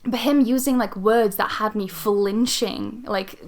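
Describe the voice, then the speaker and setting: A woman's voice: mid-range voice, measured pace, darkish tone. A woman co-hosting a podcast in a casual, informal group chat, telling stories in a loose conversational way. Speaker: podcast co-host.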